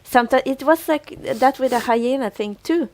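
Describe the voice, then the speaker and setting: A woman's voice: high-pitched, normal speed, darkish tone, dry recording. A woman co-hosting a podcast, chatting casually about a TV episode in hesitant, trailing phrases. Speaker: podcast co-host. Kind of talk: casual TV recap chat.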